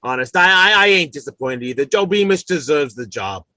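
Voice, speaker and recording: An actor playing an excitable, easily indignant working-class family man in a radio comedy, stammering in folksy, ungrammatical speech. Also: mid-range voice, brisk, dry recording. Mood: sheepish. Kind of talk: old-time radio comedy acting.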